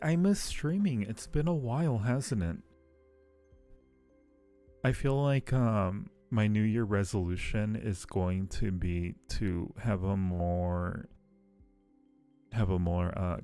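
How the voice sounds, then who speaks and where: A man's voice: fairly low pitch, takes it slowly, warm tone, soft background music. A man chatting casually and playfully with viewers on a livestream, teasing them affectionately. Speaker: live streamer hosting a chat.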